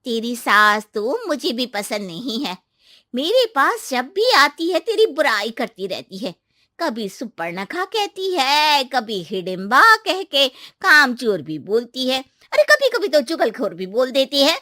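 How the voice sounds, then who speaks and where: A woman voicing a sly, two-faced gossiping neighbour with an exaggerated manner in an animated family comedy. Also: high voice, a little slow, exaggerated voice. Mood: insinuating.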